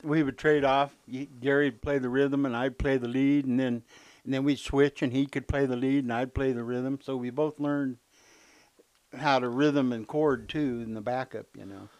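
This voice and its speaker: A man's voice: mid-range voice, steady tone, moderate pace, a little mellow, clear, resonant tone, no echo, lo-fi sound. A man in his seventies, a musician casually reminiscing in an interview. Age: 70s.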